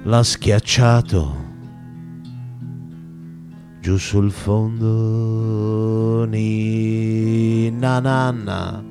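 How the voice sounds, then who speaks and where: A man's voice: fairly low pitch, steady tone, drawn-out words, pauses often. A man singing a folk lament in the manner of a lullaby, accompanied by guitar. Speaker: singer and guitarist.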